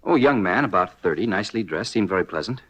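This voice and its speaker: A man playing a brisk, obliging dentist who answers precisely, in 1950s radio drama style. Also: deep voice, lively intonation, fairly bright tone, slightly rough, heavily acted. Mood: brisk, helpful.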